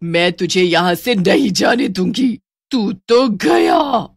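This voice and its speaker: A vengeful female witch spirit from a horror cartoon. The voice is gravelly and hoarse-edged, relishing every threat in a dry space. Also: medium pitch, animated, takes it slowly, no echo, full character voice. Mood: menacing. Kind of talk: horror cartoon villain acting.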